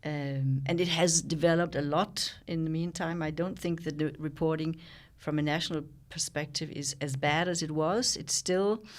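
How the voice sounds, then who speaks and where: A Danish woman in her sixties, a journalist talking thoughtfully in an interview, pausing and restarting as she reflects. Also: low voice, fairly even intonation. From Denmark. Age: 60s. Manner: thoughtful, with frequent pauses and restarts. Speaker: guest, journalist and founder.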